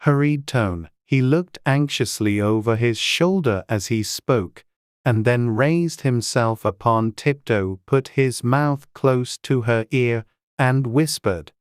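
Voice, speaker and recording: Audiobook narrator: mid-pitched, crisp and smooth, scripted storybook reading in a dry, close recording. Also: slow, no room sound.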